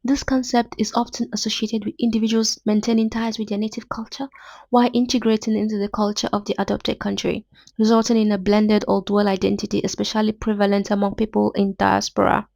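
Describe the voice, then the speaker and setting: A woman's voice: medium pitch, flat delivery, brisk, gravelly, darkish tone, no echo, muffled recording. A young Nigerian woman with a Nigerian accent, hosting a podcast and reading a prepared literary essay in formal, academic wording. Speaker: podcast host.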